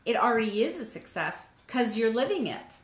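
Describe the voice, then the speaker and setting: A woman's voice: on the high side, very animated, moderate pace. A woman hosting an interview, talking in a casual, affirming way with frequent restarts. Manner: warm affirming, many restarts and fillers. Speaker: host. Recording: roomy echo.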